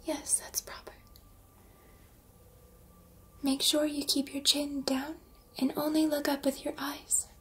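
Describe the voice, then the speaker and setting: A woman playing a calm, exacting combat robot trainer in soft, often whispered ASMR roleplay, with formal, precise instructions. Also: sits high, moderate pace. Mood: calm, instructive.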